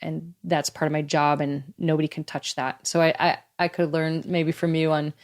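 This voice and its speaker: A woman in her fifties, a guest in a casual interview, talking in a chatty, lively way and laughing easily. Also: sits low, moderate pace. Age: 50s. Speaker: guest athlete.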